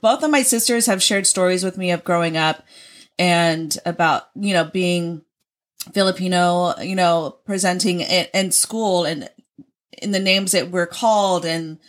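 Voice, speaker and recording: A woman's voice: lowish voice, unhurried. A young adult woman co-hosting a podcast, talking candidly and casually, swearing a lot and laughing easily. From Oregon, US. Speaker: podcast co-host.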